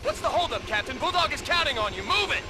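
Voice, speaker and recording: A stern, urgent military commander in a shooter game, pitched very high for a man. He barks clipped, authoritative orders over clear vehicle and battlefield noise. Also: sing-song, quick pace.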